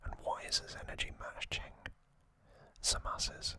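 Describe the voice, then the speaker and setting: A man giving an ASMR recap in a breathy, unvoiced whisper, speaking softly in short, deliberate phrases. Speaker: ASMR channel host.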